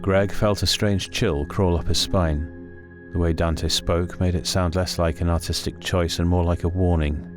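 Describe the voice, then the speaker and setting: A man with an extremely deep voice reads a horror story. His delivery is scripted, measured and full of suspense. Prominent music runs under the narration. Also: steady tone.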